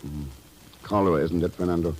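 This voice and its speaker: Actor as a low-voiced, cool secret agent. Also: male voice, fairly even intonation, a little fast, darkish tone, slight background noise, natural voice, rough recording. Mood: grim, commanding. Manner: terse, dry, unflappable. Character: cool, commanding, suave male secret agent. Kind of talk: 1940s radio drama acting. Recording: old radio broadcast, music behind.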